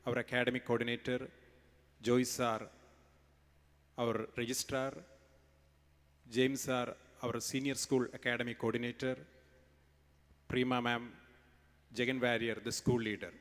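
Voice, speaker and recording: A man's voice: mid-range voice, a bit bright, long reverb tail. A man with an Indian English accent giving a morning assembly speech to students, telling a story emphatically and quizzing them. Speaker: school speaker at an assembly. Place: large school hall.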